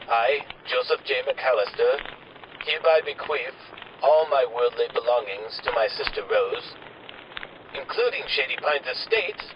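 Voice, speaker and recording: A man's voice: mid-range voice, noisy background, lightly acted. A man playing a corruptible doctor and secret lover in a radio melodrama, earnest and theatrical. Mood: formal, grave. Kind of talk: old-time radio melodrama acting. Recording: muffled, loud music behind.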